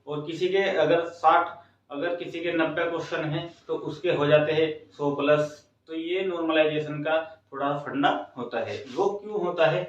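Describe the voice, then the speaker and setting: A man's voice: on the high side, steady tone, normal speed. A man giving an informal, instructive explainer to students, repeating phrases for emphasis. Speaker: exam coaching host. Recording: very echoey room, distant mic, dull sound.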